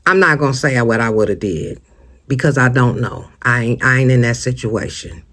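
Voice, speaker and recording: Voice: mid-range voice, normal speed, fairly bright tone. An American vlogger giving casual, opinionated commentary straight to viewers, emphatic and conversational, often posing rhetorical questions. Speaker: host of a true-crime commentary channel.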